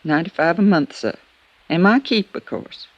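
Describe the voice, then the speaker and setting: An actress playing a loyal, wary, deferential American housekeeper in a 1950s radio drama, folksy and hesitant. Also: female voice, on the low side, expressive, moderate pace, dry recording, lo-fi sound. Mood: plain, deferential.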